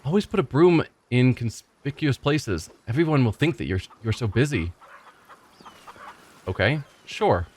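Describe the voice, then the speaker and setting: A man hosting a let's play, reading game text aloud and musing in a relaxed, chatty way. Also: mid-range voice, unhurried. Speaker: gaming channel host.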